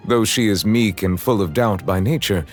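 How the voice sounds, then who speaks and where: An actor playing a solemn, dignified chief justice in a fantasy video game, speaking formally and with measured eloquence. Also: male voice, fairly low pitch, faint music behind, close to an everyday voice. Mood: solemn admiration. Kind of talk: scripted video game character voice lines.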